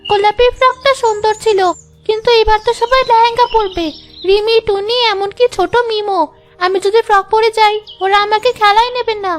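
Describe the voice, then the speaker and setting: A woman's voice: extremely high pitch, fairly even intonation, brisk, slight background noise. A woman voicing an eager, stubbornly hopeful young village girl in a children's cartoon, with an earnest, pleading manner. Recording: faint music behind the voice.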